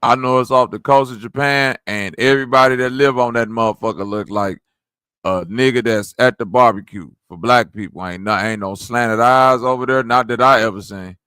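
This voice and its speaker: A man riffs bluntly on a podcast, swearing and joking. His voice is bright and forceful, and the sound is dry and close to the mic. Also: medium pitch, normal speed, smooth, no echo. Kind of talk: casual crude banter.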